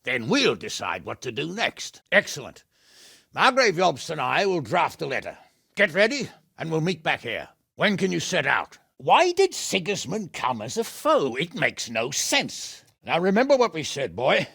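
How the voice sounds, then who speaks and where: An actor playing a gruff, quick-tempered and shrewd middle-aged medieval lord in a video game, with a gravelly, husky voice and a commanding, hearty manner. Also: male voice, fairly high pitch, huge pitch swings, pushed and bright, no echo, studio quality, exaggerated voice. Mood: brisk, commanding. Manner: commanding, colourfully cursing, hearty. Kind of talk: video game character acting.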